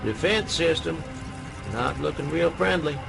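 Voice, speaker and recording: Very gravelly, crusty veteran hacker. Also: male voice, a little high, lively intonation, measured pace, loud music behind the voice, heavily acted, low-quality recording. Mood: dry, wary. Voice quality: gravelly, creaky. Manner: laconic, folksy. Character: crusty, wisecracking veteran male hacker sidekick. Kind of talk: radio drama acting.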